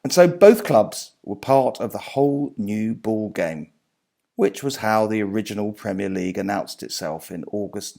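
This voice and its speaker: Creaky-voiced man reading aloud. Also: medium pitch, a little mellow. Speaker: author and sports journalist reading own book. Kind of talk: scripted book reading.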